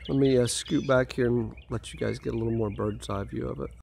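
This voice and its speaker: A man's voice: mid-range voice, steady tone, a little fast, creaky, a little mellow, slight background noise. Man with a stuffy head cold. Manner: easygoing, rambling with fillers. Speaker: homeowner vlogger. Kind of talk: casual site walkthrough. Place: outdoors at a house build site.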